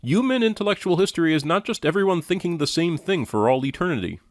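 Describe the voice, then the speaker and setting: Male commentator, fairly high-pitched, sardonic, challenging, critical rebuttal delivery, very dry close sound. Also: animated, quick pace, no echo. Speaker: commentator reviewing and rebutting a lecture.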